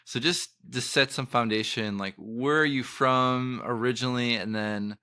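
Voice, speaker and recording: A man's voice: mid-range voice, moderate pace, pushed and bright, smooth, no echo, studio quality. A man hosting an interview podcast, asking questions with a lot of "uh" and "um". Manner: hesitant, many fillers. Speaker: podcast host.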